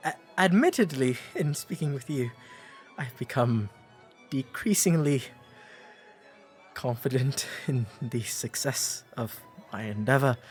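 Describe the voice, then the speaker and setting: Man playing a courteous English period gentleman, music behind. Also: mid-range voice, natural voice. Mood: hesitant, hopeful. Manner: formal, polite old-fashioned diction with hesitant, gentle delivery. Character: courteous, shy, bookish 19th century English gentleman, gently fond. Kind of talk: romantic period roleplay audio drama.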